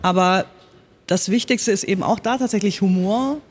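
A woman's voice: medium pitch, normal speed. A woman speaking in a panel talk as a radio drama director, explaining her work in an earnest, emphatic way.

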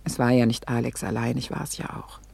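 An actress playing a middle-aged, well-to-do wife in a German radio drama, speaking in a reflective, self-critical way with a breathy voice. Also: female voice, extremely low pitch, steady tone, a little fast, dark tone, heavily acted. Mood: wistful, reflective. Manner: reflective, hesitant, confessional. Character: wistful, self-critical well-to-do wife recalling her past.

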